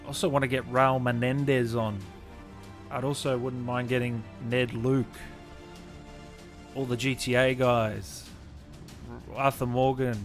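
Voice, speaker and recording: A man's voice: medium pitch, little pitch movement, measured pace, faint music behind. A man in his late twenties hosting a gaming livestream, chatting informally with viewers and guests. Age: late 20s. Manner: informal, blokey, addresses viewers as guys. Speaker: host of a gaming livestream show. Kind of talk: casual livestream chat and interview.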